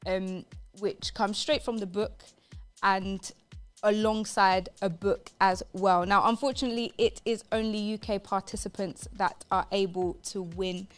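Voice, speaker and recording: A woman's voice: medium pitch, fairly even intonation, unhurried, faint music behind. A woman presenting a live radio show in an upbeat, emphatic way, repeating phrases for stress.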